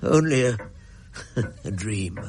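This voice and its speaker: A man's voice: on the low side, animated, slow, darkish tone, a little hiss or hum. An actor playing an anxious, distracted but kindly elderly grandfather in a radio drama, speaking in a hesitant, faltering way. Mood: uneasy, faltering. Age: older.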